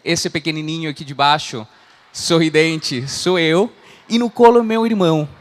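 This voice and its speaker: A man's voice: fairly high pitch, normal speed. A man in his thirties from Chile, speaking Portuguese with a Chilean Spanish accent, giving an earnest motivational talk as a coach. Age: 30s. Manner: earnest, frequent "né?" tags. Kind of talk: motivational talk to a live audience.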